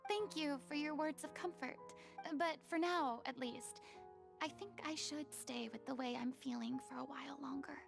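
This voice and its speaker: Remorseful young ex-goddess for a game; high, faintly gravelly, hushed delivery. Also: female voice, normal speed, over music. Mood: subdued. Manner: soft, hesitant, often whispered. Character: young woman, former goddess, subdued and remorseful. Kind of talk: video game voice acting.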